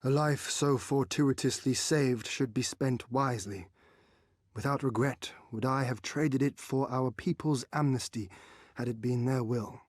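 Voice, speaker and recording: A man playing a proud, earnest noble warrior in a fantasy game cutscene, with formal, archaic, measured diction and a gravelly voice. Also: mid-range voice, darkish tone, dry recording, studio quality. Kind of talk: dramatic game cutscene acting.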